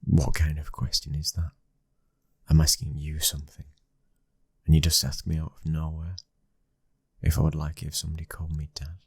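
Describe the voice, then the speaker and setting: A British man acting a protective, devoted young mafia husband in an intimate ASMR boyfriend roleplay, his breathy voice slipping into whispers. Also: monotone, moderate pace, pauses often, no room sound, exaggerated voice. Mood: puzzled, probing. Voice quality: very deep, breathy, husky.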